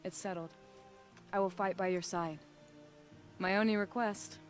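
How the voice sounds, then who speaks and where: A woman's voice: mid-range voice, steady tone, measured pace, soft background music, lightly acted. A woman playing a bold, loyal huntress in a video game, speaking firmly and with pride. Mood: resolved. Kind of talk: dramatic video game dialogue.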